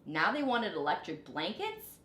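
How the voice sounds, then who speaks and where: A woman's voice: on the low side, huge pitch swings, measured pace, fairly bright tone. A woman teacher narrating a storybook to children in an explanatory, questioning manner. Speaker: teacher reading aloud. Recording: roomy echo, background noise.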